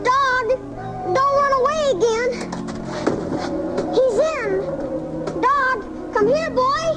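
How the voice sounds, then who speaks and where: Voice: very high voice, takes it slowly, over music. A performer playing a lonely, earnest young boy sick in bed in a 1980s radio drama, recorded off the air. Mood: excited, urgent. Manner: plaintive, earnest. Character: sick bedridden young boy, lonely, longing for his dog.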